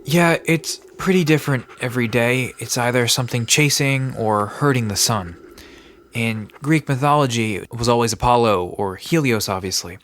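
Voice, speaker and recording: A man's voice: mid-range voice, normal speed, a bit bright, slight background noise, lightly acted. A man playing a laid-back, bookish park resident in an audio drama, casually explaining myths with a dry manner. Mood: easygoing, informative. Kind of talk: conversational audio drama storytelling.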